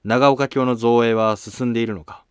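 A man's voice: mid-range voice, fairly even intonation, moderate pace, smooth, no echo, studio quality, lightly acted. A man playing a trusting, authoritative emperor in a historical drama, speaking plainly and with command. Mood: assured, dismissive. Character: trusting, authoritative male emperor of ancient Japan. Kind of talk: scripted historical drama dialogue.

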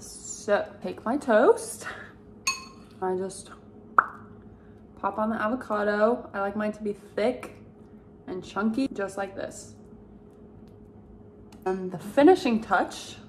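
A young woman talks straight to the camera in a home kitchen, upbeat and gushing as she chats about food. Her voice sits at an ordinary middle pitch. Faint kitchen sounds stay behind her. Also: slight background noise. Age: young adult. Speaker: lifestyle vlogger. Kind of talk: casual chat to camera.

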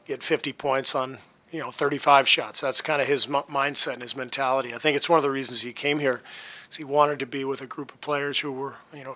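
A man in his fifties, a basketball head coach, answering reporters' questions at a postgame press conference in a relaxed, halting way with many fillers. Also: medium pitch. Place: press room before a sponsor backdrop. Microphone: table mic on a stand.

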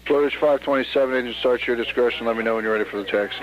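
A man working as an air traffic controller, giving clipped, procedural clearances over radio in a businesslike, polite way. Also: medium pitch, very fast. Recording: noisy narrowband radio channel with static clicks.

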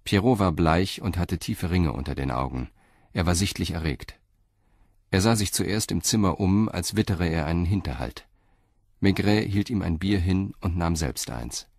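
A man narrates a crime radio play in a calm, even way. His very deep voice is recorded close and dry, with no room sound. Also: normal speed, vocal fry, dry recording.

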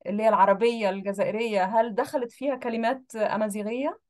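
Egyptian woman host, slightly high voice, repeats words back on a video call. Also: steady tone, fast, darkish tone. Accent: Egyptian Arabic. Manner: curious, repeats words back to check them. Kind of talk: casual interview chat. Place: home room, indoors.

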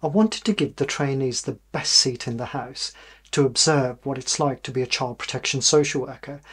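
A middle-aged man with a British accent presents research in a measured way. His voice is mid-pitched with a husky grain, and he explains each point as a lecturer would. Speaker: university professor presenting research.

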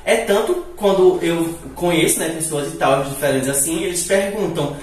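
A man's voice: a little high, normal speed, a little mellow. A young man from northeastern Brazil with a Recife-like accent and hissing s sounds, chatting playfully while cooking in his home kitchen. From Natal, northeastern Brazil. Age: young adult. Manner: chatty, playful, breaks into giggles. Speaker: vlogger. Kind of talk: casual cooking vlog chat. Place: tiled home kitchen, indoors. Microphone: camera several feet away. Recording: echoey tiled kitchen, distant mic.

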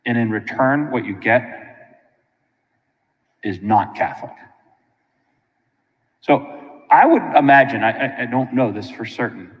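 American priest preaching in a reverberant church. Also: male voice, mid-range voice, muffled recording. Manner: colloquial and animated, with frequent asides to listeners. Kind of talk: informal conversational sermon. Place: large church sanctuary, indoors.

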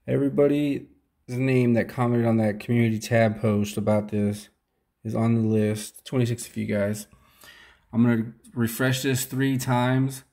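A man's voice: mid-range voice, gravelly, darkish tone. Young guy chatting to cam in a small room, easygoing, lots of uh and y'all. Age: young adult. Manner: casual, rambling, thankful. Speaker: fishing channel host. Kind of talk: casual talk to camera. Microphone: camera close up at desk.

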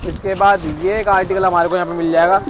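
A man's voice: sits high, flat delivery, fast, a crowd in the background. A man hosting a vlog, giving casual promotional commentary in a shop and repeating prices back to viewers in a salesy way. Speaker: vlog host presenting a clothing shop. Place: clothing warehouse shop, indoors.

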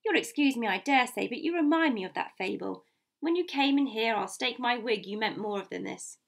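A woman voicing a composed, shrewd gentleman doctor in an audiobook, speaking in a measured, courteous, articulate way. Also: fairly high pitch, expressive, vocal fry. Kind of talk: audiobook character voice.

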